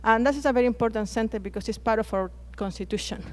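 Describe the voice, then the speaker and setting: Woman with a Chilean Spanish accent, halting delivery, repeated words, conference panelist. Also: a little high, a little mellow. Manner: hesitant, frequent restarts and repeated words. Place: conference plenary hall.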